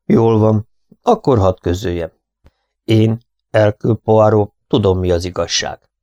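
A man voicing a calm, precise foreign detective, speaking in a measured, soothing way. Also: fairly low pitch, no echo, natural voice.

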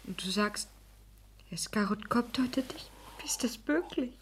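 An actress playing a meek, yearning housewife in a 1960s German radio play, speaking in a hushed, often whispering voice. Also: female voice, a little high, expressive, moderate pace, lightly acted. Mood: anxious, longing. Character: meek, yearning young housewife, submissive and secretive. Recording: noisy 1960s radio recording.

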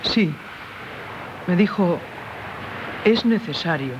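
Tormented Castilian wife, dull radio sound. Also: female voice, lowish voice, lively intonation, takes it slowly. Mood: haunted, narrating. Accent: Castilian Spanish. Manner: solemn, confessional, theatrical delivery. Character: tormented married woman haunted by a former lover. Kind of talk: 1970s radio theatre acting. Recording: dull, noisy 1970s radio recording.

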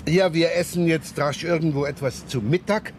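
Actor as wry, probing police inspector, noisy recording. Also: male voice, a little high, moderate pace, close to an everyday voice. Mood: wry, rambling. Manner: repetitive, probing, wry and rambling. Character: shrewd, rumpled, talkative provincial police inspector. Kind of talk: 1970s German radio crime drama acting.

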